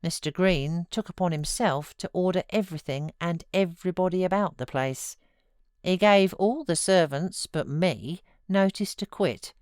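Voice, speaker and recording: A woman with a British accent and a slightly low voice narrates literary fiction. Her delivery is measured and expressive, and the sound is dry with no room echo. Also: clean tone. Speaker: audiobook narrator.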